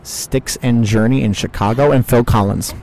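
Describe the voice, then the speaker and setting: A man's voice: mid-range voice, moderate pace, gravelly, darkish tone, poor audio. A man from New York, a band's lead singer, joking and rambling in a casual outdoor interview. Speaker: guitarist and lead vocalist. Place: outdoors in a parking lot.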